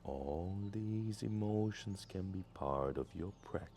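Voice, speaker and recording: A man gently leading a guided meditation in a soothing, deliberate way with long pauses. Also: sits low, a little hiss or hum. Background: music.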